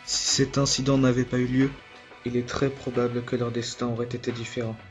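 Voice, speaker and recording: A man's voice: medium pitch, little pitch movement, a little fast, soft background music, close to an everyday voice. A man in an amateur French anime dub playing a grave secret agent who narrates. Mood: grave, reflective. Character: grave adult male secret agent recounting a manhunt.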